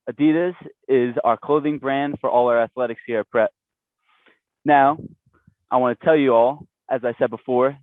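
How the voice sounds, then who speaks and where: A man's voice: mid-range voice, dry recording. A young man in his late teens walks viewers through the space like a tour guide, pointing things out as he goes. The sound is thin and phone-like, and it stays dry and close. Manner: presenting, guiding viewers. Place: indoor basketball court.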